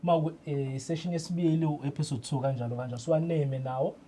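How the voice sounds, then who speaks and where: A man's voice: on the high side, steady tone, fast talker, dark tone, slight background noise. A man with a South African accent explaining things informally as a radio drama technician, switching often into English. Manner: mixes English into Zulu. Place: indoor radio studio.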